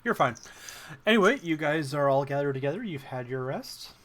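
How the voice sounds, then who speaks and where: A man acts as game master, narrating casually with a halting, conversational flow. His pitch moves around a lot, and there is faint noise behind him. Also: animated, fairly bright tone.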